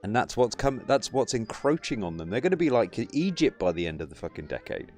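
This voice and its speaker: A man's voice: lowish voice, big pitch swings, brisk, a little mellow, faint music behind. A man hosting a casual podcast chat, sardonic and given to swearing, reading out chat comments.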